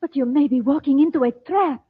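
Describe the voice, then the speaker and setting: An actress playing a mysterious, solemn Egyptian woman with formal, measured speech in an old-time radio serial. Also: sits high, no room sound, lightly acted. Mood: urgent warning. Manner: formal, measured, stilted speech without contractions. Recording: tinny, band-limited old radio recording.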